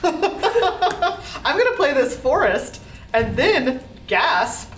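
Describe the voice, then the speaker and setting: Card player, giggly woman, lively pitch; roomy sound from a distant mic. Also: drawn-out words, a little hiss or hum. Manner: playful, giggly. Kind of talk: casual game-table chat. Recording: echoey room, mic at a distance.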